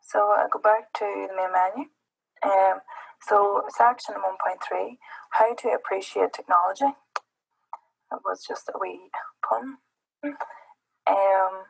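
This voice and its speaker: A woman's voice: mid-range voice, vocal fry, slightly rough, rough recording. A woman from Northern Ireland with a Northern Irish accent, walking through an app's screens in a hesitant presentation full of ums. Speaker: presenter demonstrating an educational app.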